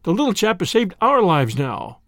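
A man's voice: high voice, big pitch swings, moderate pace, fairly bright tone, no room sound, studio quality, heavily acted. A man voicing a grateful grown man, master of a house, in a storybook reading, hearty in tone. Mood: relieved.

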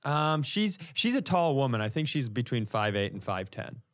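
A man's voice: mid-range voice, normal speed. A man telling stories in a casual, comic podcast style, acting out the lines he quotes as he goes. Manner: animated, acts out quoted lines. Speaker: comedy podcast co-host.

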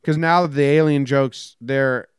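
A man's voice: mid-range voice, fairly even intonation, a little slow, clean tone, no room sound. A man co-hosting a sports podcast, trading sarcastic banter and reading offbeat news headlines with dry jokes. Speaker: podcast co-host. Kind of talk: casual sports chat and jokey news rundown.

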